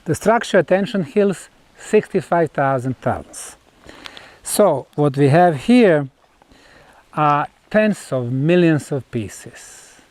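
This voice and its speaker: High-pitched Bosnian-accented man presenting. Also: animated, slow pace, darkish tone. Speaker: researcher presenting measurements on site. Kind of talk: explanatory on-site presentation.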